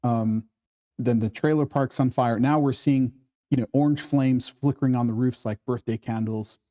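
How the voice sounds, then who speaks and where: A man's voice: mid-range voice, fairly even intonation, moderate pace, mellow. A man hosting a casual workshop discussion, explaining things conversationally and checking in with his listeners. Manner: acts out quoted dialogue while explaining, often checking with "right?". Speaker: workshop host leading a screenplay discussion.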